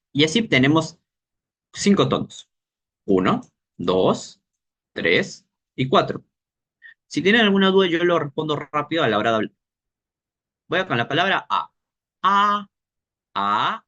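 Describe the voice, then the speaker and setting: A man teaching an informal language workshop, explaining as he goes and pausing to search for words. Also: fairly high pitch, fairly bright tone.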